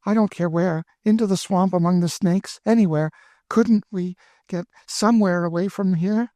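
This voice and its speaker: A man's voice: sits high, moderate pace, dark tone, no echo, voice pushed up, lightly acted. A man voicing a frightened young girl who pleads breathlessly. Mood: pleading. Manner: breathless, imploring.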